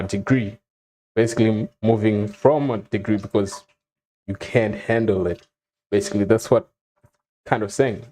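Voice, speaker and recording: A young man from Zimbabwe telling his own story in a relaxed, casual way, with frequent ums and soft chuckles. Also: on the low side. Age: young adult. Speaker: vlogger.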